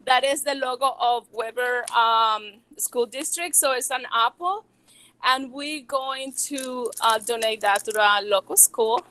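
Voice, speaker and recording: A woman with a Venezuelan Spanish accent speaks in a bright, slightly high voice. She talks nervously and haltingly, restarting her words often as she presents her small business. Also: poor audio. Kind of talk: presentation to educators.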